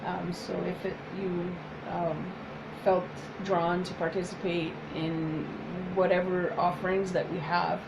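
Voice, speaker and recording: A woman whose first language is French, speaking as a psychotherapist in a soft, hesitant casual chat with many pauses. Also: lowish voice, little pitch movement, background noise. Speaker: psychotherapist, co-host.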